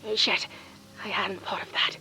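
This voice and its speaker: A woman's voice: mid-range voice, fairly even intonation, moderate pace, creaky, sharp tone. A woman playing a worried woman, faint music. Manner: worried, hesitant. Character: anxious, polite woman searching for someone. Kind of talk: audio drama acting.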